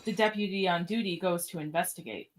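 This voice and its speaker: A woman's voice: lowish voice, fairly quick, heavy background noise. A woman reading scripted stage directions as the narrator of a podcast radio play.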